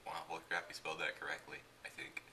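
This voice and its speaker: A young man with a low voice reads aloud casually, tripping over words and dropping in asides. He sounds away from the microphone in a clearly noisy amateur recording with clicks. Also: completely flat delivery, fast talker, sharp tone, recorded from a distance. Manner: stumbles and interrupts the reading with asides. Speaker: companion commenting and taking a turn reading. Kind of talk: casual joking read-aloud.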